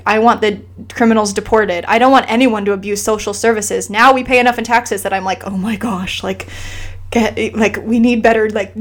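A woman talking as an interview guest, earnest and impassioned, speaking in a casual conversational way with frequent restarts. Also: fairly high pitch. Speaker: guest sharing her family's immigration story.

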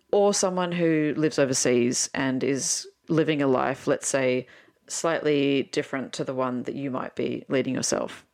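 A young adult woman with an Australian accent, hosting a podcast in an informal, candid personal narration. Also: very deep voice. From Sydney, Australia. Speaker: podcast host.

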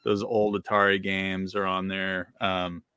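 A man's voice: low voice, flat delivery, a little slow, pushed and bright, smooth, no room sound. A middle-aged man co-hosting a podcast, chatting casually with lots of fillers and laughs. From Virginia. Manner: rambling, filler-heavy, laughs often. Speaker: podcast co-host. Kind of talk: casual chat.

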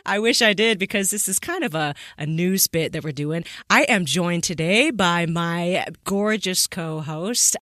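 Low-pitched woman chatting on a podcast. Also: unhurried, a bit bright, no room sound, clean studio sound. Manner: informal, chatty, amused. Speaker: podcast host. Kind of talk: casual podcast discussion.

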